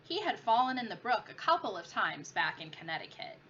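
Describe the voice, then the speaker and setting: A woman's voice: medium pitch, very animated, brisk, fairly bright tone. A woman, a teacher, reading a children's story aloud expressively. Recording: muffled lo-fi with clear background noise.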